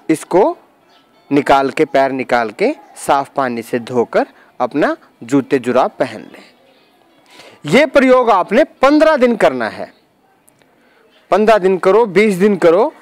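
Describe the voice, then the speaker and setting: A man with a high-pitched voice presents a practical how-to in voice-over. He is emphatic and instructional, repeating phrases to drive them home. The sound is dry and close, with very little room in it. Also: a little mellow, no room sound. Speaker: presenter of home remedy tutorial.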